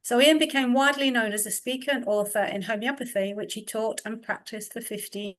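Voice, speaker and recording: A woman's voice: on the high side, fairly even intonation, brisk, a bit bright. A woman with an English accent hosting an online session, introducing speakers in a chatty, self-deprecating way and reading from notes. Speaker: host. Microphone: computer mic on a video call.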